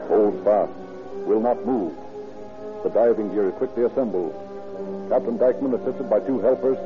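Muffled old radio show, music under a man acting a low grim narrator, poetic and theatrical crime tale stuff. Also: close to an everyday voice. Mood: solemn, suspenseful. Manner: florid, ominous, theatrical delivery.

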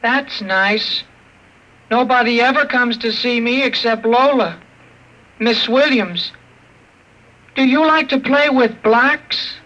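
Radio-play robot: childlike, eager, innocent, grinding, very high and slow. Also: male voice, pushed and bright, heavily acted, low-quality recording. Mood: shy curiosity. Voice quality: grinding, mechanical voice. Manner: slow, simple, childlike questions. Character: childlike robot with a six-year-old's mind, eager, innocent. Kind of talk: old-time radio drama acting. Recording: vintage radio recording.

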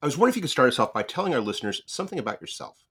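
A man's voice: mid-range voice, big pitch swings, racing, fairly bright tone, breathy edge. A man hosting a podcast interview, asking long questions with frequent restarts and repeated words. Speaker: podcast host.

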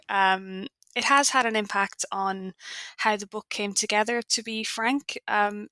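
A young adult Irish woman talks about her work in an interview, pausing often with ums as she thinks. Her voice is bright and forward. The sound is dry and close. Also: medium pitch, no room sound. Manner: thoughtful, hesitant with frequent ums. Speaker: author guest. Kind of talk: podcast interview.

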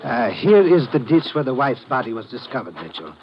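An actor playing a formal, precise police lieutenant in an old-time radio drama, speaking in a measured, deliberate manner. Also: male voice, mid-range voice, big pitch swings, over music, close to an everyday voice. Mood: grave. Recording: muffled, noisy vintage radio recording.

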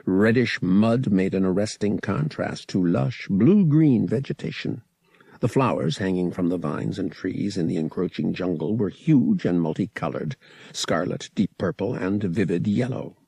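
A man reading a science fiction novel aloud as an audiobook narrator, with expressive storytelling. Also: low voice, a little slow, gravelly, husky, no echo. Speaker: audiobook reader.

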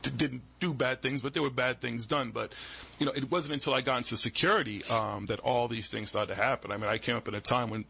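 A man's voice: medium pitch, fairly even intonation, quick pace, creaky, sharp tone, husky. A man, a podcast guest telling rambling stories in a studio and acting out the voices in them. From Boston. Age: 40s. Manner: rambling, restarts often, acts out quoted voices. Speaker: guest, security business owner. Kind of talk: casual storytelling interview.

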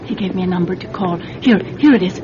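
A woman's voice: on the low side, fast talker, lightly acted. A woman playing an anxious, vulnerable mother forced into spying in a radio drama, hesitant and fretful. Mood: afraid. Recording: dull radio recording with background noise.

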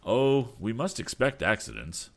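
A man voicing an aged inventor, a steady, determined father with a measured, authoritative manner, in a storybook. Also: mid-range voice, creaky, pitched above the performer's own voice, heavily acted. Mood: reassuring.

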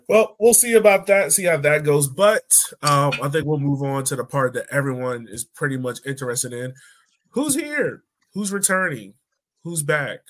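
A man's voice: medium pitch, fairly bright tone. A man hosting a sports podcast in a casual, conversational way. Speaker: podcast host.

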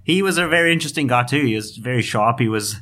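A man's voice: mid-range voice, lively intonation, fast talker, fairly bright tone. A middle-aged man from South Africa with a South African accent, a finance journalist talking as a guest in a conversational interview, hesitant with many fillers and restarts.

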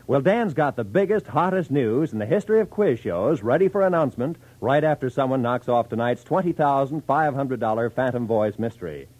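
A man's voice: mid-range voice, a little mellow, low-quality recording. An American man announcing a radio program in a polished 1940s broadcast style.

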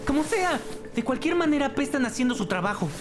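A woman's voice: high voice, expressive, fast talker. A woman voicing a defiant, cocky teenage troublemaker, insolent and mocking, in a crime audio drama. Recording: loud music bed behind voice.

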